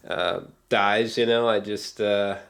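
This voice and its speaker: A man's voice: on the low side, little pitch movement, slow, fairly bright tone. A man giving a casual, rambling film review with geeky, awed enthusiasm, often hesitating, trailing off and swearing casually.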